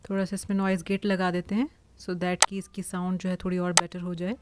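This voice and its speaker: An Indian woman giving an informal, explanatory software tutorial, mixing English words into her speech. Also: on the low side, flat delivery, brisk. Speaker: voice-over artist giving a tutorial. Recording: clearly hissy home recording.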